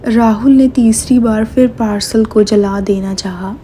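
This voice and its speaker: A woman's voice: a little high, steady tone, measured pace, warm tone. A woman reading a scripted horror story as its narrator, with faint noise behind.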